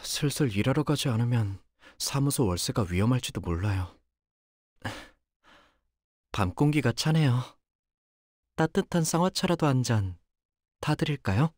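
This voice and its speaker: An actor playing a timid, polite young male fighter in a video game, hesitant and soft-spoken but capable of cold focus. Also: medium pitch, dry recording, clean studio sound. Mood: gentle, polite. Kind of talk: Korean video game character voice lines.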